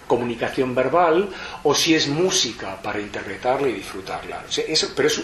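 A man's voice: medium pitch, a bit bright. A man from lecturing to an audience, reflective and explanatory, often restarting his sentences. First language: Spanish. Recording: roomy hall echo, faint background noise.

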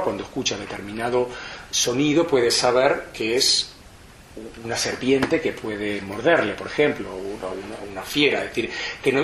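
A man's voice: mid-range voice, a bit bright. A man from lecturing to an audience, reflective and explanatory, often restarting his sentences. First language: Spanish. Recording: roomy hall echo, faint background noise.

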